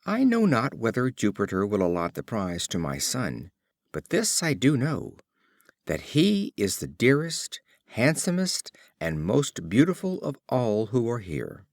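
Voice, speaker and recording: A man as a resolute, doting mother monkey, lively intonation. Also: medium pitch, a little slow, no room sound, studio quality. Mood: proud, resolute. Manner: resolute, emphatic.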